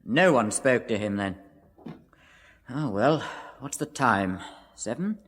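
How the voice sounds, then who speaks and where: A brisk, matter-of-fact English doctor in a radio drama. His medium-pitched voice moves freely up and down, businesslike and unruffled, with the reverb of a large room. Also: expressive.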